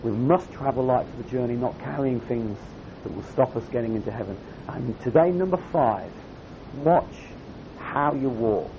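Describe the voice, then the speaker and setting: Croaky male youth speaker, chatty and emphatic, muffled recording, light background hum. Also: normal speed. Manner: conversational, emphatic repetition. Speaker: camp speaker. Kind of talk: youth sermon. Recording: dull lo-fi recording with faint rumble.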